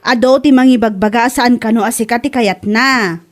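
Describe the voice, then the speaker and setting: A woman's voice: on the high side, normal speed, lightly acted. A woman with an Ilocano accent playing a blunt, skeptical older sister who nags, in a comedy audio drama. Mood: blunt, teasing.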